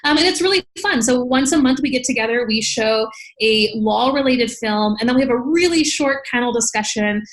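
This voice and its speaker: A woman speaking as an interview guest, an academic explaining things conversationally in an animated way, quick to laugh. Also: on the high side, low-quality recording. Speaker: podcast guest, law professor.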